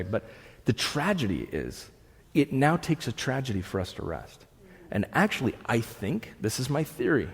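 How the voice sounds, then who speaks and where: A man in his thirties, a pastor preaching a sermon to a room, conversational with asides, swinging from loud bursts to hushed lines. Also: medium pitch, husky. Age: 30s. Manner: conversational with frequent restarts and asides. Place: church hall with an audience. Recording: long reverb of a large hall.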